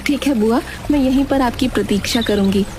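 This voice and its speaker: A woman voicing a gentle, trusting young serpent princess who speaks politely and earnestly in a storybook tale. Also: fairly high pitch, a little fast, music in the background, voice pushed up, natural voice.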